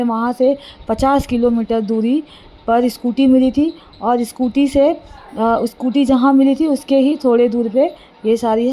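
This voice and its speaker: A woman recounting a news case informally and unscripted, halting and correcting herself as she explains. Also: fairly high pitch, dark tone, rough recording.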